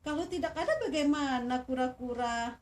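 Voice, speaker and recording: A trusting, hesitant elephant from a children's fable, acted out by a woman. It asks things in a friendly, high voice. The sound is a little distant, with faint noise. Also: moderate pace, close to an everyday voice. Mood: doubtful. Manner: questioning, friendly.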